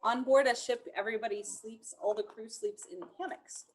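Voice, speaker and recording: A woman reading a children's picture book aloud expressively, in measured rhyme with chatty asides. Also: fairly high pitch, husky. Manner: expressive, measured rhyming delivery. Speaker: storytime reader.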